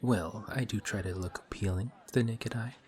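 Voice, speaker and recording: An actor playing a courteous, formal villain who is sly and quietly taunting, in a one-sided audio roleplay. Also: male voice, on the low side, moderate pace, lightly acted. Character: suave, polite male villain in civilian clothes. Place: coffee shop scene with faint café chatter.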